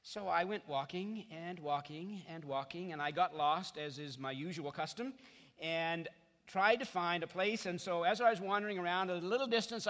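A middle-aged man from Canada delivers a guest sermon in a lively, storytelling way, poking fun at himself. His voice sits high and sounds bright and forceful. It rings out with a long reverb tail in a large hall. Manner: chatty, self-deprecating storyteller. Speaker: guest preacher. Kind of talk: sermon with humorous stories.

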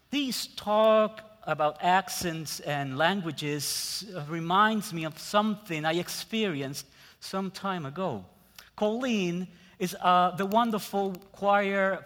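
Man, Puerto Rican Spanish accent, native Spanish speaker, guest preacher, unhurried sermon, long church reverb. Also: sits high. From Puerto Rico. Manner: slow, measured preaching with dramatic pauses and soft trailing endings. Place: church sanctuary.

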